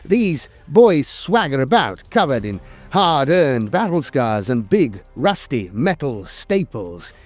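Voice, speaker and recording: A man's voice: mid-range voice, big pitch swings, slow, hardly any pauses, faint music behind. A man reading scripted fantasy lore narration in a dramatic, emphatic storytelling manner.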